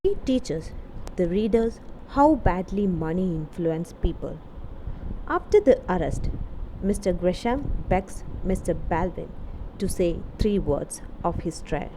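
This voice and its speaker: A woman delivers scripted educational narration at a slow pace, breaking it into short, deliberate phrases. She explains like a literature teacher. The recording has clear background noise running under her voice. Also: lowish voice, animated, warm tone, poor audio.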